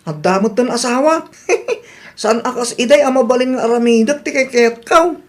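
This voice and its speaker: A woman voicing a high, lively, devoted husband. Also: normal speed, lightly acted. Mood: cheerful. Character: easygoing, devoted family man who obeys his wife. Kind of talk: Ilocano radio drama acting.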